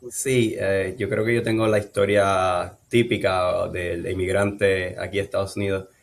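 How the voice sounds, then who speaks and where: A middle-aged man with a Puerto Rican accent, a guest telling his story in an interview, unhurried and thoughtful, pausing often on "eh" and "ah". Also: low-pitched, little pitch movement, vocal fry. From Colombia, raised in Puerto Rico. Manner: unhurried and thoughtful, with frequent "eh" and "ah" fillers and restarts. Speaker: guest neurologist.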